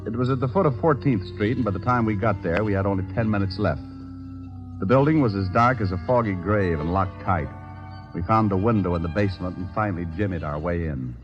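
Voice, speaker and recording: Low-voiced tough American radio detective, played by a man. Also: brisk, lo-fi sound, close to an everyday voice. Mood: terse narrating. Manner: brusque, hard-boiled, clipped orders. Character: tough, commanding male private detective. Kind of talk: 1940s-50s radio detective acting with narration. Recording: old radio recording with faint music.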